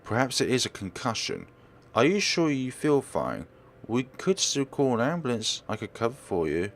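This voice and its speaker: A man's voice: mid-range voice, sing-song, normal speed, pitched above the performer's own voice. A man voicing a young female real estate agent in a visual novel, earnest, insistent and protective. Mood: worried.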